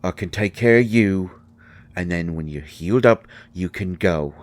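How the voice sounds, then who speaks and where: Man acting a deep, slow-spoken grieving fairy husband. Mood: irritated, defensive. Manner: halting, emotional, swinging from snappish outbursts to quiet murmurs. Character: grieving fairy husband, gruff, defensive and wounded. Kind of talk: roleplay audio drama monologue.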